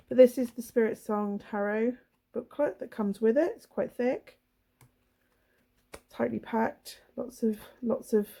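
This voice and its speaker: A woman with laryngitis, her voice hoarse, husky and throaty, chatting casually and apologetically as she flips through a tarot deck for her channel. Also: medium pitch, dark tone.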